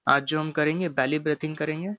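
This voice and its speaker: Higher-pitched, gravelly man guiding meditation. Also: fairly even intonation, fast, no room sound, highs cut off. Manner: gently repetitive step-by-step instruction. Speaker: meditation teacher. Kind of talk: guided meditation instruction.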